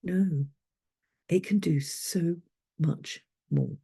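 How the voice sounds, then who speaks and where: A woman guides a sleep meditation in a low-key, mellow voice with a creaky edge. She speaks very slowly and gently, softly coaching the listener step by step with a breathy, husky tone. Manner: soothing, unhurried, gently instructive.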